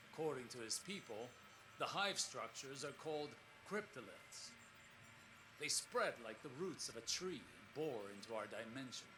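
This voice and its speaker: An actor playing a thoughtful, earnest male explorer, lonely and weary, recording measured, formal, reflective logs for a video game. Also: mid-range voice, background noise, lightly acted. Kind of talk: scripted audio-log narration in a video game.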